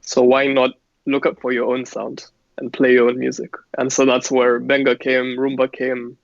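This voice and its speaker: Guy, gravelly and flat, chill about it, dull lo-fi sound. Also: mid-range voice, dry recording. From Kenya. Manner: relaxed, unhurried, laughs easily. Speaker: musician guest. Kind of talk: casual interview answers.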